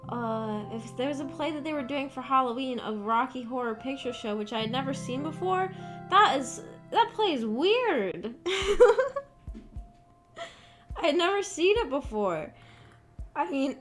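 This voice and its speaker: Young woman rambling on stream, kinda hoarse from just waking up, lotsa "like"s. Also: sits high, a bit bright. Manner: rambling, chatty, lots of fillers. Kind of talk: casual chat stream.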